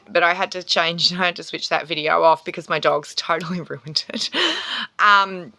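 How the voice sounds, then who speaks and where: Australian woman, casual spiritual chat, rambling with ums, bright tense tone, dry close recording. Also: lowish voice, dry recording. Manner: chatty, rambling, many ums and soft laughs. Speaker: spiritual healer and tarot reader. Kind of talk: casual astrology talk to camera.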